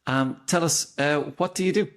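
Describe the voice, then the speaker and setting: A man's voice: on the high side, moderate pace. A man hosting an interview as a career coach, asking friendly, rambling questions with some fillers. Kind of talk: conversational interview.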